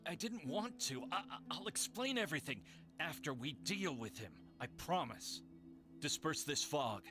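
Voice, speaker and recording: A man's voice: fairly high pitch, sing-song, moderate pace. A man playing a desperate, guilt-ridden monster hunter in a video game, earnest and pleading. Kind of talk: dramatic video game voice acting. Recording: music behind the voice.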